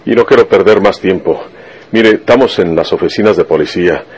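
Rustic Mexican lawman, low and rough-voiced, blunt and stubborn, for radio drama. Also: a little fast, a little mellow. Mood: defiant, urgent. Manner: folksy country speech full of rustic colloquialisms. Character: stubborn, vengeful rural Mexican detective, blunt and earnest. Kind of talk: Spanish-language radio serial acting.

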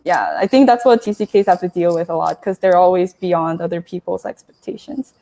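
A woman's voice: fairly low pitch, expressive, brisk, dark tone, low-quality recording. A young woman, a guest author on a video call, speaking earnestly and casually with lots of "like" and easy laughter. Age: young adult. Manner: earnest, laughs easily, many "like"s. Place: indoor room. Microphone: earphone mic on a video call.

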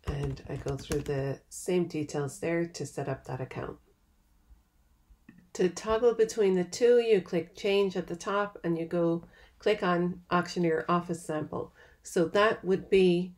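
A woman giving a step-by-step software tutorial over a screen recording, in a plain, patient manner. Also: fairly low pitch. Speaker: software trainer.

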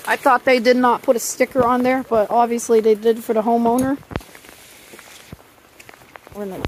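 A woman's voice: fairly high pitch, steady tone, moderate pace, noisy background. A young woman narrating a casual handheld vlog outdoors at night in the rain, chatty and giggly as she describes what she films. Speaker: vlogger filming outdoors. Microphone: handheld camera mic.

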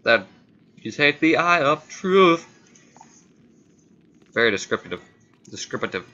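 A man's voice: medium pitch, very animated, slow, sharp tone, dull audio. A man hosting a gaming video with casual, offhand commentary on what he is playing.

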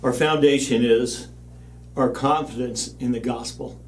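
An older man giving an earnest, measured banquet speech as the leader of a mission organization, standing at a lectern. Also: medium pitch, steady tone, distant mic. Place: studio with backdrop, at a lectern.

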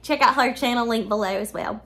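A woman's voice: on the high side, a little fast, a bit bright. A woman in her thirties chatting to viewers as she bakes desserts in her home kitchen, upbeat and talkative. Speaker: baking vlogger. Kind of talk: casual baking tutorial.

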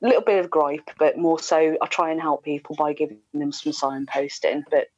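A woman's voice: low voice, steady tone, a little fast, a little mellow, dry recording. A middle-aged woman, a radio presenter, chatting casually as a guest on a video call. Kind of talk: casual interview chat. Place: indoors at home. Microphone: earphones on a video call. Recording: slightly dull video-call audio.

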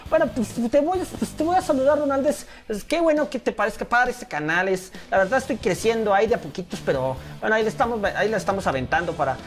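A young man giving casual, animated commentary on his football video game and reading viewer comments, often calling his audience "señores". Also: high-pitched, quick pace, hardly any pauses, faint music behind. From Colombia. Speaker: gaming YouTuber.

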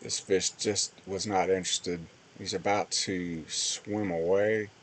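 A man's voice: low-pitched, steady tone, takes it slowly, slight background noise. A man giving casual, plain-spoken voice-over commentary on fishing footage as host of a fishing channel.

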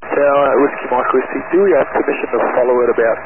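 A man's voice: medium pitch, steady tone, fast. A man, a pilot, making clipped radio calls to the tower. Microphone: aviation radio. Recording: tinny, noisy aviation radio transmission.

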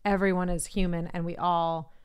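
A woman co-hosting a podcast, chatting casually and steering the conversation with measured, hedging remarks. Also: lowish voice, level tone, a little mellow, clean tone. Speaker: podcast co-host.

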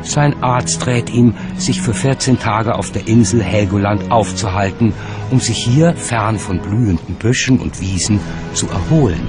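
A man narrates a documentary from a script. His delivery is measured and articulate in a lowish register, and music plays clearly underneath.

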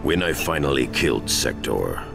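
An actor playing a solemn, honorable ninja grandmaster in a video game, speaking formally and with measure. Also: male voice, a bass voice, big pitch swings, moderate pace, vocal fry, exaggerated voice. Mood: solemn, earnest. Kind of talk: cinematic video game acting. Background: loud cinematic game music.

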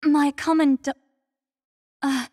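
A woman voicing a devout young female warrior in an anime-style game, gentle and solemn, speaking formally and with measured earnestness. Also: high-pitched, fairly even intonation, no echo, clean studio sound. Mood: gentle, polite.

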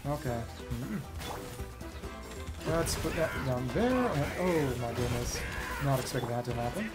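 A man's voice: medium pitch, lively intonation, a little slow, over loud music. A man streaming a video game, giving casual live commentary in short fragments, muttered to himself.

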